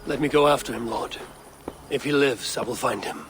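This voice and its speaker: A man voices a loyal Norse warrior who speaks calmly and with resolve. His pitch is mid-range and even. A faint noise sits under the cutscene. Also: moderate pace, close to an everyday voice. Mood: resolute. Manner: calm, resolute, respectful.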